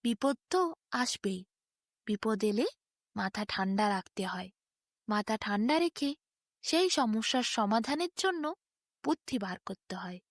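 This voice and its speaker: A woman's voice: fairly high pitch, lively intonation, a little slow, long pauses, dry recording, studio quality. A woman storyteller narrating a bedtime tale expressively, in dramatic short phrases.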